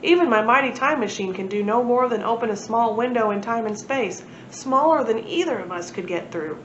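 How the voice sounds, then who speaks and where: A woman's voice: fairly high pitch, animated, fairly quick, a bit bright, reverberant, dull audio, a little hiss or hum. A woman voicing a gloomy, moaning professor and inventor in a storybook. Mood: despairing. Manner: moaning, doom-laden. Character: gloomy professor with a nose, time-machine inventor.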